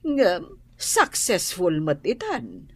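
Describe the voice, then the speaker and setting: A woman with an Ilocano accent playing a kindly, unhurried older aunt in a radio drama, approving and expressive. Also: lowish voice, slightly rough, full character voice.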